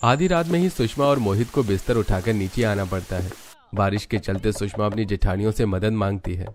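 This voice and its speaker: A man's voice: lowish voice, brisk, a little hiss or hum. A man narrating a scripted story as the storyteller.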